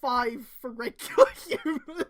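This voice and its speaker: A woman's voice: high-pitched, a little slow, a crowd in the background. A woman reading fan fiction aloud on a stream, breaking in with exasperated, casual, sweary commentary.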